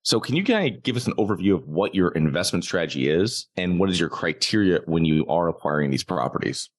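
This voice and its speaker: A podcast host, a man with a very low voice, reads a brisk sales pitch and then interviews his guests. He talks in a plain, steady way and repeats key points. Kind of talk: scripted ad read and interview.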